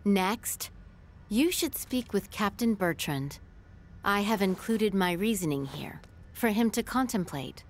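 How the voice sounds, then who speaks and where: A woman playing a formal, authoritative director in a fantasy video game, giving measured instructions. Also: mid-range voice, lively intonation, a little hiss or hum, close to an everyday voice. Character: formal, authoritative female director serving the kingdom. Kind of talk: scripted video game dialogue.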